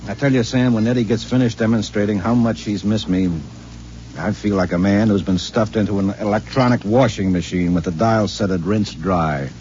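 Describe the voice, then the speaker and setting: An actor playing a wry, joking middle-aged husband who wisecracks and sounds incredulous, in 1950s radio acting style. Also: male voice, lowish voice, steady tone, normal speed, a little mellow, natural voice. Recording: dull 1950s radio recording, faint hiss.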